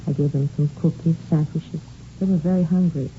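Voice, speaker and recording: A concerned French household cook in an old radio play. Her low voice has a French accent and a careful, formal politeness. The recording is muffled, with faint noise behind her. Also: steady tone, a little fast, dark tone. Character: earnest, concerned foreign-born female household cook. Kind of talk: 1950s radio drama acting.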